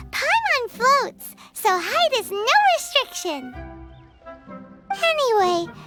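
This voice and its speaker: A woman voicing a tiny, excitable, easily spooked fairy girl companion in a video game, squeaky and childlike. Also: exaggerated voice. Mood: teasing, spooked. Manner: speaks of self in third person, exclaiming. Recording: music behind the voice.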